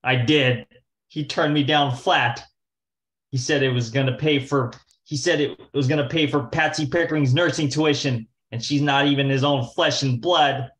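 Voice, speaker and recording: A wheedling young gambler in an old-time radio play, put on by an amateur actor. He talks in slangy wise-guy fashion at a middling pitch. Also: fairly even intonation, normal speed, fairly bright tone, lightly acted. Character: wheedling young male gambler, scheming brother.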